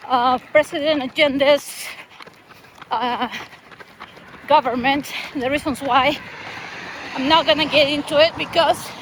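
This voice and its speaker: A woman talks in relaxed, chatty bursts while she jogs beside a highway. Her voice sits high. Clear traffic noise runs behind her outdoors. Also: low-quality recording. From Chile. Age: 50s. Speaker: vlogger host. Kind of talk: casual chat while running.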